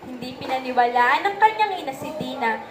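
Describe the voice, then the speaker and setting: Young female narrator, high voice, Filipino accent, scripted storytelling, echoey room, some background noise. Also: lively intonation, a little fast. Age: young adult.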